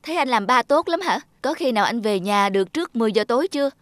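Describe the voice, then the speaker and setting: A woman's voice: fairly high pitch, animated, fast, no echo, close to an everyday voice. Woman acting an aggrieved, dramatic mother. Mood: bitter, arguing. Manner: emotional, dramatic. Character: aggrieved, sharp-tongued wife and mother.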